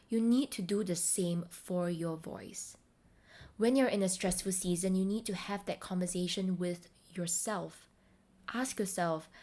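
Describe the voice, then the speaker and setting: A woman hosting a self-help podcast, speaking to the listener directly in a deliberate, encouraging way. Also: medium pitch, a little mellow. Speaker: podcast host and voice coach.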